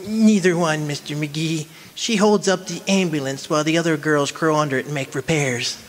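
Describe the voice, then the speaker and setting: A man playing a meek, henpecked little husband, timid and prim with drawn-out words, in old-time radio comedy style. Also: a little high, natural voice. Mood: meek, chipper.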